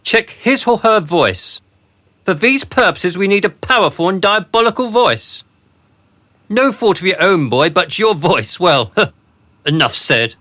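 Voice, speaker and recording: A man playing a pompous, callous older gentleman in a satirical radio drama, speaking in a deliberate, mock-formal way. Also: sits high, very animated, heavily acted. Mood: condescending.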